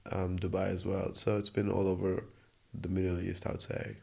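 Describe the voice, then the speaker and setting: Young guy from jordan, kinda low voice, talks earnest and thoughtful, restarts a lot. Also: completely flat delivery, a little fast, vocal fry, dark tone, breathy edge. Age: 20s. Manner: earnest, reflective, with frequent restarts. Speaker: interview guest. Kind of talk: casual conversational interview. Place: Australia.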